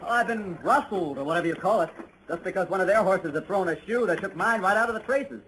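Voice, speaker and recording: A man's voice: high voice, animated, quick pace, a bit bright. An actor playing a naive, talkative young city salesman in an old radio western. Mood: indignant, chatty. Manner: bewildered, talkative. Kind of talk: 1950s radio western acting. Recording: noisy old radio drama recording.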